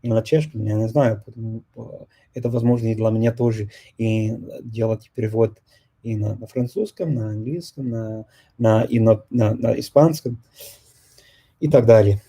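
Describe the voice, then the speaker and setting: A man, a native English speaker, hosting a casual group chat and often pausing to search for words. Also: fairly low pitch, fairly even intonation, warm tone, husky. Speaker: host of a discussion on multilingual events.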